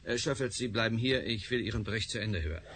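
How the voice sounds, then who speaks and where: A man's voice: on the low side, fast, very noisy, close to an everyday voice. An actor playing a curt, authoritative male superior giving orders in a radio play. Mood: commanding. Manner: curt and commanding.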